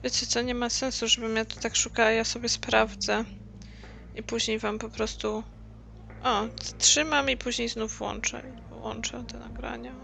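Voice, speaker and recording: A woman's voice: a little high, creaky, a bit bright, slight background noise. A woman doing casual let's-play commentary, muttering to herself as she plays. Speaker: game streamer.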